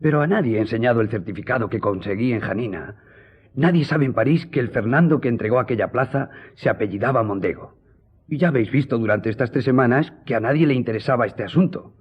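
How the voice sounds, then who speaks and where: An actor playing a loyal, reassuring gentleman editor in a radio drama, speaking earnestly and formally with a husky, dark-toned voice. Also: medium pitch, fast, dull audio, natural voice. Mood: grave, earnest. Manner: earnest, consoling, formal address.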